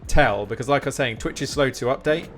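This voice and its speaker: Male live streamer, mid-pitched, informal and rambling, encouraging, faint music behind. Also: fast. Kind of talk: casual chat with stream viewers.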